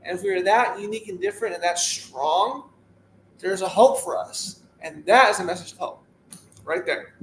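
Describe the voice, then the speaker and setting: A man's voice: sits high, moderate pace, fairly bright tone, reverberant, slight background noise, poor audio. A man in his thirties talking casually as a podcast guest, earnest and repetitive as he gives a motivational message. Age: 30s. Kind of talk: casual interview talk.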